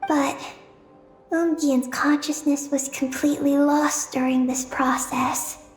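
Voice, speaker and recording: A small innocent moon creature from a fantasy game. The girlish voice is very high and echoing, slow and hesitant, with an earnest air. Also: little pitch movement, faint music behind. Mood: solemn, recounting. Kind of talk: video game voice acting.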